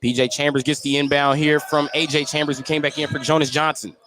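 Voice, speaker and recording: A man's voice: a little high, level tone, fast. A man doing live radio play-by-play commentary of a basketball game. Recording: live gym broadcast with background noise. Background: gym ambience, bouncing basketball.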